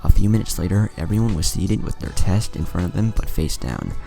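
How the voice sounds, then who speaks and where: A man's voice: low-pitched, expressive, fairly quick, creaky, mellow. A man reading a scripted fan fiction story as narrator, voicing the dialogue as he goes. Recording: music behind the voice. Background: music.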